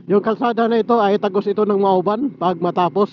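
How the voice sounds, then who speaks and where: A man giving a casual vlog-style gear review in a halting, explanatory way, repeating key words. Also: high-pitched, lo-fi sound. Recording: clearly noisy amateur recording.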